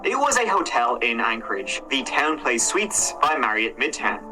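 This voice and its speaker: A man's voice: medium pitch, sing-song, normal speed, music in the background, rough recording. A man casually retelling a true crime case in a conversational way, with hedges and fillers. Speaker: true crime reaction host.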